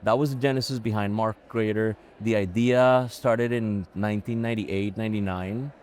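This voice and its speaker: A man in his forties from Colombia, a company chief executive answering interview questions in a measured, thoughtful way with frequent pauses and restarts. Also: lowish voice, steady tone, darkish tone. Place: live event recording on location.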